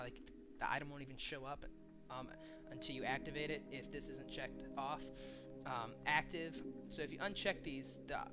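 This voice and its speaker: Man explaining software over loud music. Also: medium pitch. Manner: halting, thinks aloud while demonstrating. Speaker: tutorial host. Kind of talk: casual screen-share software tutorial. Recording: music playing behind the voice. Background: loud background music.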